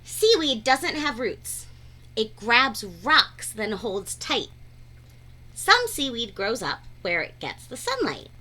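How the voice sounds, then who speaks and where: Woman, children's read-aloud storyteller. High-set voice, unhurried pace, expressive teacher-like phrasing.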